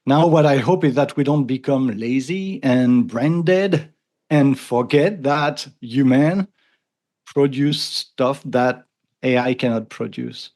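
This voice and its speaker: Brief: man, 50s, thick French accent, earnest guest, gravelly, medium pitch. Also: slow pace, a little mellow. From France. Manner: earnest, thoughtful, frequent restarts. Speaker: podcast guest. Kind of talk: casual conversation.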